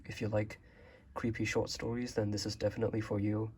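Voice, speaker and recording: A young man from Hong Kong, a native Chinese speaker, casually showing his bookshelf in a relaxed, rambling way with many fillers. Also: lowish voice, fairly quick, breathy edge. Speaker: vlogger.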